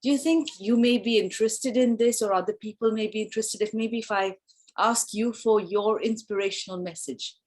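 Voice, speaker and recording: A woman speaks earnestly on a video call, laying out her project in a slightly rambling way. Her pitch sits at a plain middle height. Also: fairly quick, darkish tone. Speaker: project organizer.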